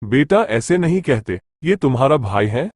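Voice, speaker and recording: Man playing a mild, animated family father. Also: mid-range voice, normal speed, no room sound, studio quality. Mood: gently chiding. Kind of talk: acted dialogue in a moral story cartoon.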